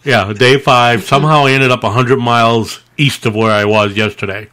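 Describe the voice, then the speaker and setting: A man's voice: mid-range voice, brisk, a bit bright, a little hiss or hum. A man co-hosting a podcast in casual, rambling, informal conversation. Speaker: podcast co-host.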